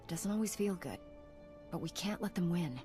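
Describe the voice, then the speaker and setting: A woman's voice: lowish voice, lively intonation, normal speed, close to an everyday voice. An actress playing a steadfast female Jedi commander in a video game, earnest and firm. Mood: reassuring. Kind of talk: video game dialogue acting. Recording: music behind the voice.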